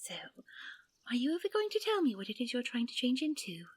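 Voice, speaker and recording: Woman playing a breathy, genteel fairy princess. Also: a little high, sing-song, quick pace, dry recording. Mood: warm, teasing. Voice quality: breathy, soft. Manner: genteel, polite, stammering when nervous. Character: gentle, refined, easily flustered fairy princess. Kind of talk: fantasy audio roleplay acting.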